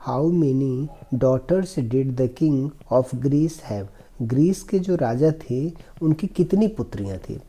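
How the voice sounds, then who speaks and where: A man teaches an exam-prep English lesson, his voice very dark and mellow. He reads each English line slowly and deliberately, then switches to an easy explanatory tone to unpack it. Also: medium pitch, breathy edge, poor audio.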